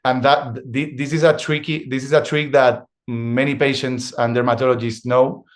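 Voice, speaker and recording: A young man with a Spanish accent, speaking English that is not his first language in a mid-pitched voice. He talks haltingly, restarting phrases and pausing to search for words as he tells his story in an interview. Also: flat delivery. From Spain. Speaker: guest. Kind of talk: conversational interview.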